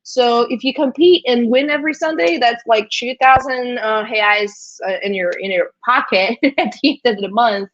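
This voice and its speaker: A woman from Brazil whose first language is Brazilian Portuguese, hosting a livestream in a chatty, halting way with frequent hesitations. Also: a little high, a bit bright, dull audio. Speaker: host.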